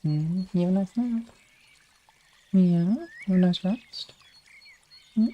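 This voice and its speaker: A young man chatting casually on a livestream in a rambling way, sometimes slipping into soft baby talk. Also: high-pitched, takes it slowly, dull audio. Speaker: live streamer.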